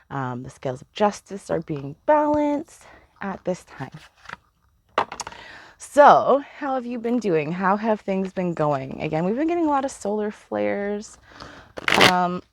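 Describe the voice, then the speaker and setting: A woman giving a casual spiritual card reading, chatty and meandering, with a slightly hoarse voice. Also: on the low side, slight background noise. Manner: chatty, meandering, laughs often, swears casually. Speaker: tarot reader.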